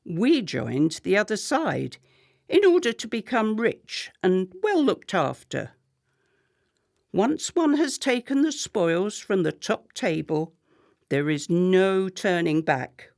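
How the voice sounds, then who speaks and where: A woman playing a haughty, scornful and ambitious wife in a radio play, speaking in a measured, cutting way. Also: mid-range voice, big pitch swings, gravelly, darkish tone, full character voice. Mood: cool, haughty. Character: cold, scornful, ambitious wife of a failing politician.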